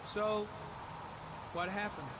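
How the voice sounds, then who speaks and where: An actor playing an earnest, businesslike FBI agent in a crime video game. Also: male voice, high-pitched, steady tone, slow pace. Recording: loud outdoor traffic noise.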